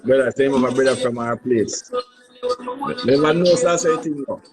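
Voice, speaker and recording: Man, animated podcast host. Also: mid-range voice, normal speed, over music. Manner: patois-laced, animated questioning. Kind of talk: casual podcast chat.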